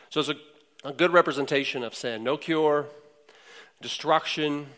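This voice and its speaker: Male pastor, sermon, smooth resonant voice, slightly higher pitch, conversational, fillers. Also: a bit bright. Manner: conversational storytelling with frequent fillers.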